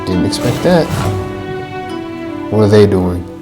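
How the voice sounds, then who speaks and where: A woman's voice: extremely low pitch, expressive, takes it slowly. A woman giving casual, slangy, jokey commentary while playing through a game. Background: game music.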